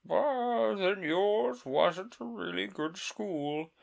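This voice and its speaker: A reader voicing a sorrowful, sobbing male Mock Turtle in a storybook reading, with a slow, mournful drawl. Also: mid-range voice, vocal fry, pitched above the performer's own voice, full character voice.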